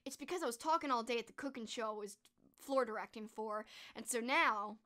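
A young woman with a high, hoarse voice that is cracking and fading, talking eagerly and casually with plenty of fillers. She is close to a studio microphone in a small bedroom. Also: brisk, sharp tone. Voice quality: strained, croaky. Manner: chatty, enthusiastic, frequent "like" fillers. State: losing her voice, hoarse. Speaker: streamer reviewing books and movies. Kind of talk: casual chat. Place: small bedroom with posters, indoors. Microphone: large studio microphone close in front.

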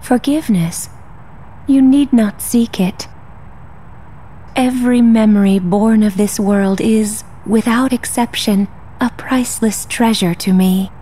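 An actress playing a serene, regal goddess in a video game cutscene, speaking softly and formally with gentle resolve. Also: female voice, on the high side, slow, husky. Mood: tender. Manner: soft, formal, stately.

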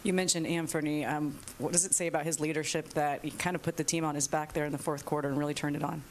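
A sports reporter asking a coach questions at a postgame press conference in brisk, evenly delivered questions. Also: mid-range voice, steady tone, a little hiss or hum.

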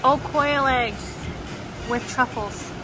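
A woman chatting casually as she tastes dishes at an outdoor restaurant table, talking slowly and relaxed, recorded on a handheld camera. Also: high voice. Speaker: food vlogger. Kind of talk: casual chat while tasting food. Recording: loud outdoor traffic noise.

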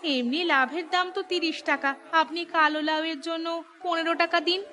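A woman's voice: very high voice, steady tone, moderate pace, pushed and bright, soft background music. A woman playing a polite, humble village housewife who sells vegetables in a cartoon. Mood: eager, polite. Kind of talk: cartoon voice acting.

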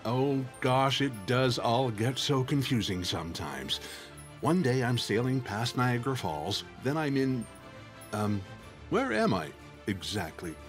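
A man's voice: mid-range voice, big pitch swings, unhurried, soft background music. A man playing an affable, scatterbrained wanderer in a fantasy audio drama, cheerful and easily flustered. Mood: confused. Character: affable, scatterbrained male world-hopping wanderer.

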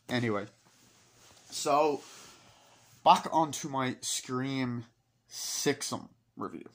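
A man in his twenties giving a rambling, informal, sweary movie review, excitable and breaking into acted-out snatches of dialogue. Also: medium pitch. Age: 20s. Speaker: amateur film reviewer. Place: small wood-panelled room indoors. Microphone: laptop microphone at close range.

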